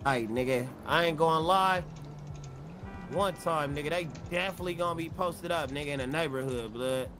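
A man's voice: a little high, normal speed, music in the background. A young man improvising street-gang roleplay in a video game, with slangy, profane talk. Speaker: roleplay gamer.

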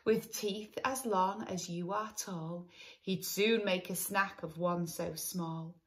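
A woman's voice: on the low side, steady tone, takes it slowly. A woman voicing a caring mother dinosaur who earnestly warns her child. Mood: warning. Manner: earnest warning tone.

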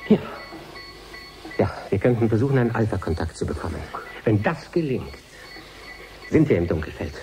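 A man's voice: fairly low pitch, very animated, normal speed, pauses often, over music. An actor playing an urgent, decisive male scientist in a science fiction radio play, insistent and clipped.

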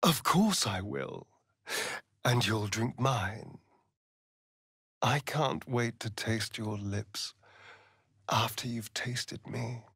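A man's voice: medium pitch, extremely slow, long pauses, a bit bright, breathy edge, no echo, clean studio sound, exaggerated voice. A male actor with an English accent voicing a sardonic, seductive, vain vampire rogue in a video game, with an arch, flamboyant drawl. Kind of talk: theatrical video game dialogue.

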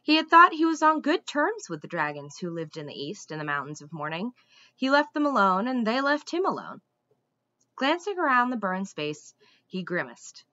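A young adult woman reading a storybook aloud like a teacher, with deliberate, dramatic phrasing. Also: mid-range voice, big pitch swings, bright, forceful tone, dry recording, dull audio. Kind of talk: expressive storybook read-aloud. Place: small room indoors at home.

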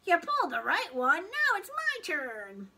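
A woman's voice: very high voice, big pitch swings, sharp tone, far from the mic, voice pushed up, exaggerated voice. A woman voicing a sly talking pigtail in a storybook, coaxing and whining in a small, tempting voice. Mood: wheedling. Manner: drawn-out wheedling, whining.

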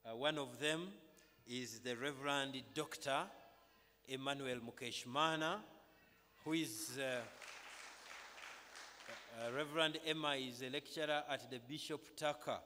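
A man's voice: mid-range voice, steady tone, a little slow, long pauses, bright, forceful tone, slight background noise. Ugandan clergyman in a reverberant hall. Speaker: clergyman leading a church service. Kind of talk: church announcements and welcome to a congregation. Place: large brick-walled chapel, indoors. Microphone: microphone on stand at pulpit, through face mask. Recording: reverberant hall through PA microphone.

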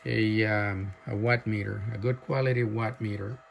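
A man casually showing off a radio he is selling, talking haltingly with many fillers and breaking into loud clipped mic tests. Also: on the low side, fairly even intonation, vocal fry, heavy background noise. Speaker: seller demonstrating a radio. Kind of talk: casual off-the-cuff product demo. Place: indoor workshop bench.

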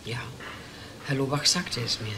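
A slow, measured secretary witness. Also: male voice, medium pitch, expressive, a crowd in the background. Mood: subdued, deliberate. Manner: measured, terse. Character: quiet, terse secretary giving testimony. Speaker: actor in witness part. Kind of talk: crime drama dialogue.

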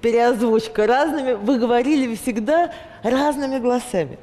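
A woman's voice: fairly high pitch, normal speed, warm tone. A middle-aged woman hosting a studio talk show, asking curious questions. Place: TV studio with audience. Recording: reverberant studio with audience.

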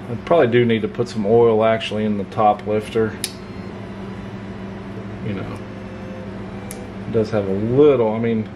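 A man casually reviewing a pocket knife at his home workbench, relaxed and folksy, with plenty of filler words. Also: on the low side. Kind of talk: casual hands-on product review. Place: home workshop desk, indoors. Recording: clear hum of room noise.

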